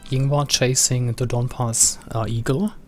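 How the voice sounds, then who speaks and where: Hoarse man casting a game match. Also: mid-range voice, little pitch movement, measured pace, darkish tone, soft background music. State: losing voice, slightly hoarse. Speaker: esports match caster. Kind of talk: live game commentary.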